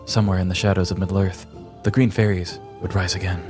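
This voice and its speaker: A man's voice: sits low, little pitch movement, quick pace, over loud music. A man hosting a podcast, reading scripted comic true-crime narration with a dramatic storybook delivery. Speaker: podcast host.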